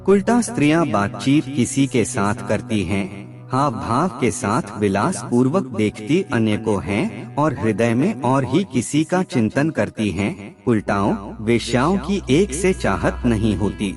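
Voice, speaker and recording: A man reading scripted moral aphorisms as a narrator, in a measured, formal recitation. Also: mid-range voice, big pitch swings. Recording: music bed under the voice. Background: background music.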